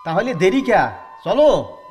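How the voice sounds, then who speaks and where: A man's voice: high voice, expressive, a little slow, a little mellow, faint music behind. A man playing a grumbling rustic villager in an audio drama, talking colloquially with a North Bengal Rajbanshi accent. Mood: curious, agreeable. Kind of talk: rural audio drama acting.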